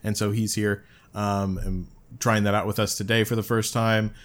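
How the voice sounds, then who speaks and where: A man's voice: lowish voice, level tone, moderate pace. A man hosting a podcast, chatting casually, rambling with lots of fillers and laughing often. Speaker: podcast host.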